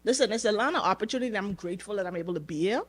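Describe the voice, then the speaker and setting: A woman's voice: mid-range voice, very fast, breathy edge. A woman from the Bahamas with a Bahamian accent, talking casually as an interview guest and often checking in with "you know" and "right?". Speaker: podcast guest, entrepreneur.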